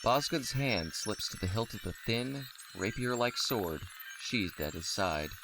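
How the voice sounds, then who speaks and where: A man's voice: fairly low pitch, lively intonation, unhurried. A man reading scripted narration for an audio drama. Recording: clearly noisy recording.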